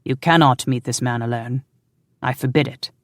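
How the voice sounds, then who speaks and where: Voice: mid-range voice, moderate pace, no room sound, studio quality, close to an everyday voice. A narrator voicing a protective, exasperated husband who is commanding and sardonic but loving. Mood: angry. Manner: stern, sardonic, insistent.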